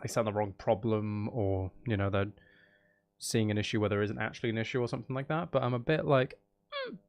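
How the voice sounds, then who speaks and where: A man's voice: fairly low pitch, brisk. A man who streams games, chatting casually and rambling in a self-deprecating way. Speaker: game streamer and audiobook narrator. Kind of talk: casual livestream chat and game commentary.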